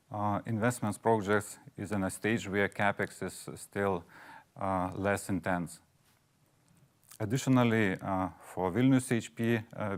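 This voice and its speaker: A man giving a formal financial presentation as finance chief, with many hesitations. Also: fairly low pitch.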